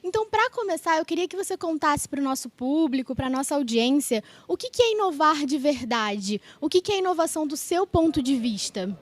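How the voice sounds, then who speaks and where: A woman's voice: high-pitched, lively intonation, a little fast, few pauses. A woman with a Brazilian accent hosting a podcast, talking conversationally and politely as she explains her way into each question. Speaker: podcast host. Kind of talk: conversational interviewing.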